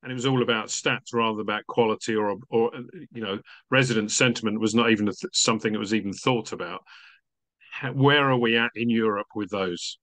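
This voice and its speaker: A man's voice: lowish voice, steady tone, a little fast, a bit bright, no echo. A man in his sixties hosting an interview over a video call from his study, genial and chatty, asking long rambling questions. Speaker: interview host. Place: home study with bookshelves. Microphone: webcam video call.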